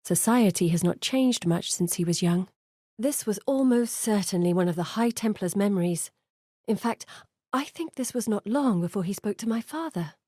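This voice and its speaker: A woman's voice: medium pitch, expressive, moderate pace, mellow, dry recording, clean studio sound, natural voice. An actress playing an earnest, curious woman scholar in a video game, articulate, thoughtful and dramatic in delivery. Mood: reflective. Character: earnest, curious woman scholar guiding an exile through memories. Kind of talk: video game character voice acting.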